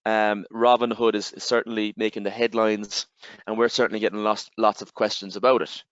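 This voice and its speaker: A man with an Irish accent, giving a webinar presentation and explaining step by step, with steady fillers and repeated "again". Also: fairly low pitch, clean tone, no echo. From Ireland. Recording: slightly dull, dry webinar audio.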